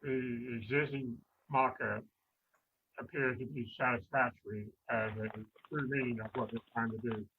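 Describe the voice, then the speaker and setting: A man speaking as a commission member in a meeting, deliberate and hesitant in how he puts things. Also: medium pitch, fairly even intonation. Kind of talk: public meeting discussion. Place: phone or online meeting call. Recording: phone-like narrow audio with clear noise.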